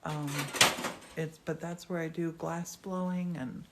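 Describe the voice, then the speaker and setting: She is an enthusiastic woman giving a casual live studio demonstration. Her voice sits on the low side, with a creaky edge, and she talks at a leisurely pace. She chats to viewers as she goes. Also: flat delivery, measured pace, very noisy. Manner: enthusiastic, chatty. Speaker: glass artist hosting a live studio demonstration. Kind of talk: casual live-stream chat and commentary. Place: glass studio workshop.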